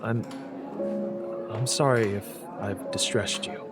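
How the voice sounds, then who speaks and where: A man acting a quiet, perceptive homeless stranger in a radio drama, speaking in a gentle, measured, probing way. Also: on the low side, steady tone. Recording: music under the dialogue.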